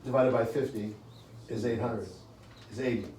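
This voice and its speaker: A man's voice: mid-range voice, steady tone, normal speed, a little mellow, distant mic. A man leading a live sales coaching seminar in a meeting room, chatty and joking with the audience, often checking in with "okay?". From United States. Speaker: real estate sales trainer. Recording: echoey room, recorded from afar, faint hiss.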